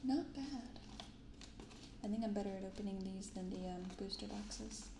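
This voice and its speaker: A young woman talks in a soft, gentle ASMR manner with a good deal of vocal fry. She chats casually and takes her time. The binaural recording sounds roomy, with clear background noise. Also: medium pitch. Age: 20s. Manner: soft-spoken, gentle, unhurried. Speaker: host of a card-opening ASMR channel. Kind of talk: soft-spoken ASMR, casual unboxing chat.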